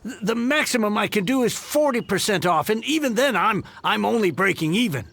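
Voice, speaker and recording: Jittery merchant guy, highish gravelly voice, swings all over. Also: big pitch swings, fairly quick, a bit bright, full character voice. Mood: wary. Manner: nervous, stammers, exclaims. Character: anxious middle-aged male merchant, frazzled and stammering. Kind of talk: game dialogue.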